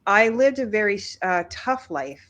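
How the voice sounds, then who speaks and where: A woman's voice: medium pitch, big pitch swings, normal speed, a bit bright. A woman in her fifties, a podcast guest talking frankly and casually, laughing often. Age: 50s. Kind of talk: casual interview chat.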